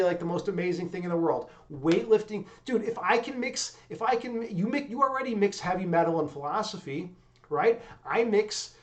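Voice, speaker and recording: Excitable, high-voiced young streamer dude. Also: male voice. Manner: loose, profane, excitable, lots of "dude" and "man". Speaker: livestream host. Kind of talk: casual livestream chat with viewers.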